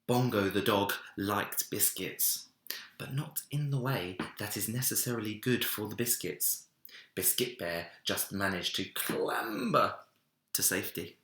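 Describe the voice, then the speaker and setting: A man reading a children's story aloud in an expressive narrating style, recorded in an echoey room. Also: lowish voice, measured pace. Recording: roomy, echoey indoor sound.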